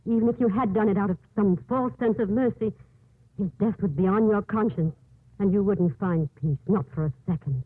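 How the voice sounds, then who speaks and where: Actress doing a compassionate earnest nun in an old radio drama, slightly husky, tinny narrow sound. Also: female voice, medium pitch, normal speed, no room sound, lightly acted. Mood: gentle concern. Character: earnest, compassionate nun driven by inner conviction. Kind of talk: 1950s American radio drama acting. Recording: muffled, narrow-band 1950s radio broadcast.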